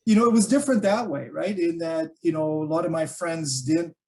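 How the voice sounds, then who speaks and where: Gravelly-voiced man, chatty interview guest. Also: a little high, fairly quick, warm tone. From Canada. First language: Calabrese Italian dialect. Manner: thinks aloud with many fillers and restarts. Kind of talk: relaxed conversational interview. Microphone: video call.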